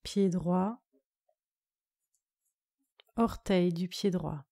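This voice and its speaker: A woman yoga nidra instructor leading a guided relaxation meditation in a calm, soothing, measured way. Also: lowish voice.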